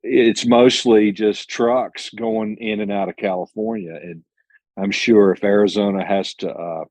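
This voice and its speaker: A man's voice: on the low side, fairly even intonation, unhurried, darkish tone, dry recording. A man who drives his own truck, answering interview questions in a plainspoken, earnest way, with many restarts and self-corrections. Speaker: owner-operator truck driver, interview guest.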